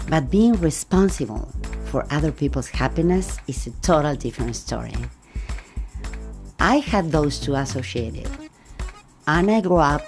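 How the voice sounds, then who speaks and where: Low-voiced Argentine woman. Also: darkish tone. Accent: Argentine Spanish. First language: Spanish. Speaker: podcast guest, life coach. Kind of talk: reflective conversational interview.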